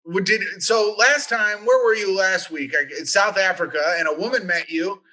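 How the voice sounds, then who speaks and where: Bright-voiced joking male co-host. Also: high voice. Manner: jokey, halting with restarts. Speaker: podcast co-host. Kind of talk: casual comedic chat.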